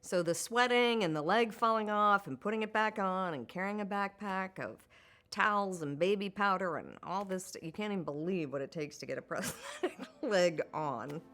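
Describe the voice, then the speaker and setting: A woman's voice: medium pitch, moderate pace, fairly bright tone. A woman, a Paralympic skier, tells her own story in a documentary interview, speaking candidly and often breaking into laughter mid-sentence.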